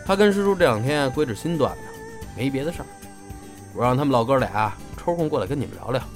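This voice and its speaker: A man's voice: medium pitch, expressive, fast talker, faint music behind, close to an everyday voice. A man playing a good-natured but blunt senior comedy apprentice in an audio drama, bantering. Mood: teasing. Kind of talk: audio drama acting, colloquial dialogue.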